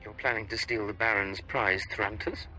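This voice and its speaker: An actor playing a cool, sardonic secret agent in a video game, with a dry, wry delivery. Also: male voice, on the low side, fairly even intonation, moderate pace, bright, forceful tone, soft background music, poor audio. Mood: wry, probing.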